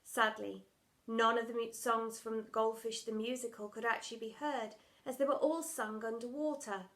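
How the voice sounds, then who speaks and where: A woman's voice: a little high, fairly even intonation, moderate pace, fairly bright tone. A woman narrating a children's storybook, reading scripted text as a primary school storyteller.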